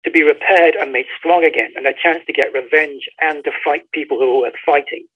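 A man's voice: fairly high pitch, brisk, husky. A man, a guest author explaining a story in emphatic, conversational storytelling over a phone call. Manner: emphatic storytelling, acts out quoted dialogue. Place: remote call. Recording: tinny phone line.

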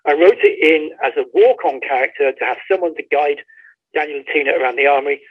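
A man's voice: a little high, fast talker, husky. A man speaking as an author being interviewed, talking in an articulate, storytelling way over a dull, lo-fi line. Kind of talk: conversational book interview.

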